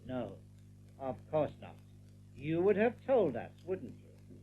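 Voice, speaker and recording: An actor playing a menacing rabbit general in an audio drama, measured, insinuating and commanding. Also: male voice, a little high, sing-song, faint music behind. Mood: silky menace. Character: suave, menacing male rabbit general, probing and controlled. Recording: slightly muffled tape with background noise.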